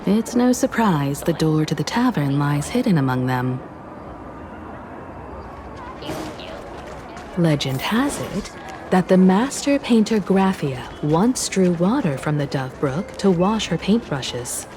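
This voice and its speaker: A woman playing a calm, composed young navigator in a video game, speaking in a measured, reflective way. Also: on the low side, noisy background. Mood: calm, curious. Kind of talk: scripted video game dialogue. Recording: music behind the voice.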